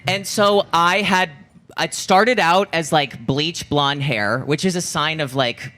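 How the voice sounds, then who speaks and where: A man's voice: fairly high pitch, slow, bright, forceful tone. A man in his thirties with an American accent, telling stories casually as co-host of a live podcast, often saying "like". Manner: conversational, peppered with "like". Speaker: podcast co-host and former competitive figure skater. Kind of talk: casual chat and storytelling at a live show. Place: live podcast show before an audience. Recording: noisy live-show audio.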